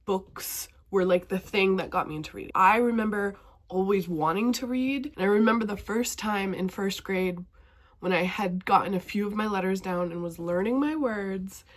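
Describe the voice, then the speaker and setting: A woman's voice: medium pitch, normal speed. Chatty young woman, stuffy with a cold. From northwestern Montana. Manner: chatty and enthusiastic. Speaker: BookTube vlogger. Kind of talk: casual talking-to-camera vlog.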